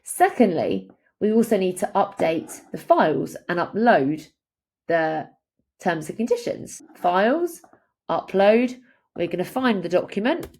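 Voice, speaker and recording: A woman presenting a software tutorial, explaining each step as she walks through the screen. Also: medium pitch, big pitch swings, unhurried, husky. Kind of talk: instructional screen walkthrough.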